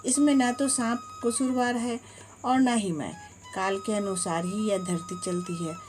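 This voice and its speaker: A woman's voice: medium pitch, steady tone, unhurried, music in the background, natural voice. A woman voicing a male god of death who solemnly denies blame in a storybook tale. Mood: insistent. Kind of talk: storybook narration.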